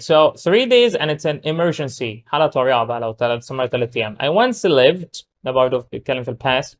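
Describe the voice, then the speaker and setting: A man with an Egyptian Arabic accent teaching English, reading model sentences slowly and explaining them in quick Arabic. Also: fairly high pitch. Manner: switches between slow, drawn-out English model sentences and rapid Arabic explanation. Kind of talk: recorded language lesson.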